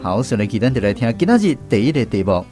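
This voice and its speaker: A man's voice: mid-range voice, big pitch swings, fast talker, dark tone, soft background music. A man with a Taiwanese accent hosting an educational language quiz on the radio. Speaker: radio host of a language segment.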